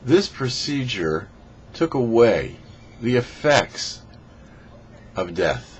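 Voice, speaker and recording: A man's voice: mid-range voice, very animated, slow. Man, rabbi, scripted Torah teaching, very varied intonation, dull lo-fi recording with faint noise. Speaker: rabbi, host of a weekly Torah portion show. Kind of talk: scripted religious teaching, read aloud.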